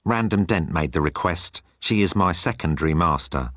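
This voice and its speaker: A man's voice: low voice, expressive, normal speed, a bit bright, no room sound, studio quality. A man voicing a haughty, literal-minded electronic bird in an audiobook, with clipped, formal, pedantic delivery. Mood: coolly condescending.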